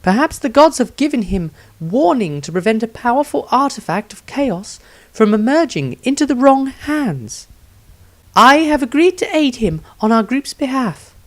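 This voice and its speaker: Lady acting a devout priestess reading her diary, highish voice, earnest and measured, fantasy audiobook. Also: sing-song. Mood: solemn, earnest.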